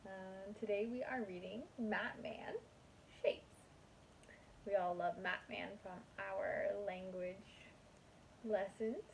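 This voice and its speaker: A woman's voice: medium pitch, fairly even intonation, slow, creaky, long pauses, noisy background. A woman kindergarten teacher reading a picture book aloud to young children in a sing-song manner.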